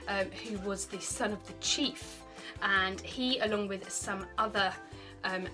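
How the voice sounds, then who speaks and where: A British woman in her thirties, a primary teacher, reading a children's story aloud in an expressive, explanatory way. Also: mid-range voice, a little slow, music in the background, low-quality recording. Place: small bright indoor room by a window.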